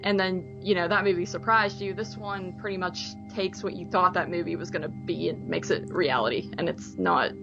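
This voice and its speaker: A woman's voice: lowish voice, normal speed, vocal fry, few pauses, lo-fi sound, over music. A woman co-hosting a podcast, giving her take on a movie in an informal, offhand way. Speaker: podcast co-host. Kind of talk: casual movie review chat.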